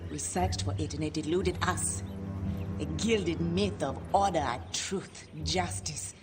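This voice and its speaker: An actress playing a guarded, world-weary woman merchant in a video game, speaking gravely and dramatically. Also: low-pitched, slow pace. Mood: bitter, weary. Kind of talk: video game cutscene acting. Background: music.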